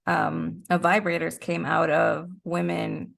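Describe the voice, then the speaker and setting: A woman's voice: lowish voice, level tone, slow, gravelly. A middle-aged woman as an interview guest, explaining her points conversationally in a thoughtful, step-by-step way.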